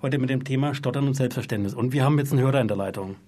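A man's voice: mid-range voice, rapid-fire, warm tone. A man hosting a radio talk show, speaking in a thoughtful, reflective way with an occasional stutter. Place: radio studio.

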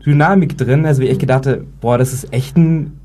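A man who stutters, talking casually with halting starts and repeated words. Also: mid-range voice, dark tone, faint music behind. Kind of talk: casual round-table talk about stuttering.

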